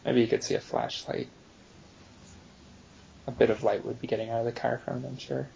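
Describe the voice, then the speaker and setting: A man's voice: mid-range voice, level tone, normal speed, creaky, darkish tone, slight background noise. A man playing a tabletop game, casually describing his character's actions, on a dull mic. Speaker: player.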